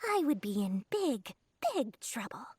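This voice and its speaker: A woman voicing a small, greedy female merchant in a video game, slyly flattering with theatrical sales patter. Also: on the high side, measured pace, breathy edge, no echo, exaggerated voice. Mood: sly, boastful.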